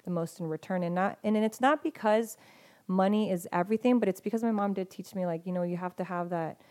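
A woman's voice: medium pitch, fast talker, dark tone. A woman talking as a podcast guest in casual conversation, hesitant and full of restarts and fillers.

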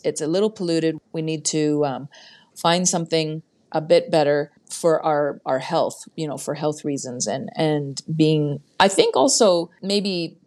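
A woman's voice: low-pitched, darkish tone. A woman hosting a podcast interview, asking long, enthusiastic questions in a casual way. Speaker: podcast host.

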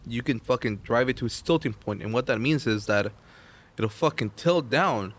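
A man in casual podcast chat, swearing often and explaining with rising excitement. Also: mid-range voice, normal speed. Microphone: shared podcast microphone.

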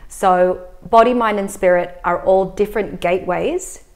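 A woman in her thirties who grew up in Australia, a yoga teacher answering interview questions in a calm, explanatory way with frequent fillers. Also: mid-range voice, normal speed. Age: 30s. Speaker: yoga teacher and breathwork facilitator.

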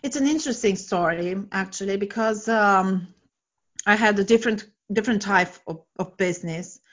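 A woman's voice: medium pitch, normal speed, lo-fi sound. A woman in her forties, a guest coach telling stories earnestly and enthusiastically in a casual interview. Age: 40s.

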